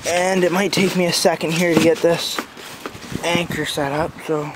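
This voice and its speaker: A man giving a casual, unscripted walkthrough as an amateur reviewer, talking in a halting, informal way outdoors on a handheld phone. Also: on the high side, fairly even intonation. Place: outdoors in a backyard. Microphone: handheld phone camera. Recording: outdoor phone recording with clear background noise. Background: passing cars.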